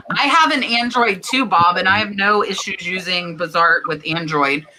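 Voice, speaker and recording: A woman's voice: lowish voice, normal speed, noisy background. A woman from Florida hosting a live stream chat, talking casually, laughing and reading out viewer comments. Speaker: host.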